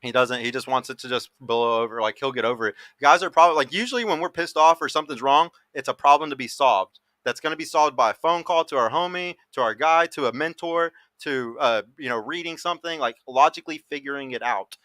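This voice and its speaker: A man's voice: medium pitch, fast talker, hardly any pauses, bright, forceful tone, no room sound. A man in his thirties hosting a dating podcast, giving blunt, emphatic opinions in casual panel talk and swearing freely. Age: 30s.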